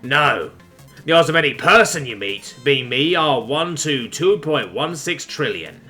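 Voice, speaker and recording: Brutish orc space captain for an audio story, acted by a male narrator: menacing, booming, slow, wide swooping delivery. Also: lively intonation, bright, forceful tone, soft background music. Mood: scornful. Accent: mock Cockney.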